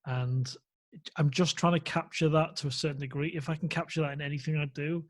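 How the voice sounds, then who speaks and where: A man's voice: on the high side, fairly even intonation, fairly quick. A man hosting a podcast interview, chatting casually and enthusiastically, often trailing off mid-sentence. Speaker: podcast host.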